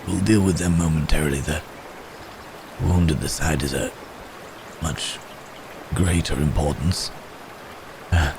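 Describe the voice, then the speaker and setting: A man playing a wounded, gentle cursed werewolf in an ASMR roleplay, halting and hesitant, with pained sighs and hums. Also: extremely low pitch, noisy background, heavily acted. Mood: weary, polite. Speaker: audio roleplay performer.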